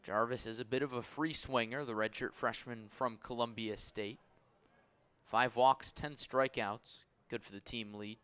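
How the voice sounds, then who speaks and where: A man's voice: mid-range voice, steady tone, measured pace, a bit bright, clear, resonant tone. A man doing live baseball play-by-play commentary for a radio broadcast.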